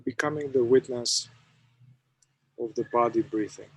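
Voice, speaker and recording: A man, a somatic therapist, leading a guided meditation, speaking slowly and softly in short phrases with long pauses. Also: mid-range voice, slightly rough, low-quality recording. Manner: slow, soft-spoken, with long pauses between phrases.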